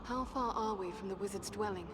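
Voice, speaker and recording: A woman's voice: mid-range voice, fairly quick, noisy background, close to an everyday voice. A woman playing a composed, learned elf adventurer in a fantasy video game, speaking formally and precisely. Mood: concerned. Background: loud background music.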